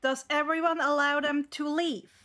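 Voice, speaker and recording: A woman's voice: high-pitched, fairly even intonation, moderate pace, sharp tone. A woman narrating and refereeing a tabletop game as game master.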